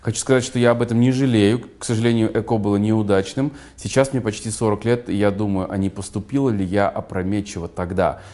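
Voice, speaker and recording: A man's voice: on the low side, fairly even intonation, fast, few pauses, dark tone. A man hosting an interview, giving short murmurs of agreement and reading viewers' questions aloud. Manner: brief listening murmurs, reads questions aloud. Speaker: interview host.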